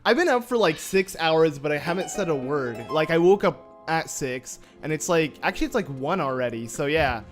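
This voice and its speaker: A young man chats his way through a video game with a slightly raspy, somewhat high voice, teasing and joking at his own expense. Soft game music plays faintly beneath him. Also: animated, normal speed, hardly any pauses. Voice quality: slightly raspy from just waking. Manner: joking, self-mocking, chatty with frequent fillers. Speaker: gameplay commentator. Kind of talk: casual let's-play commentary.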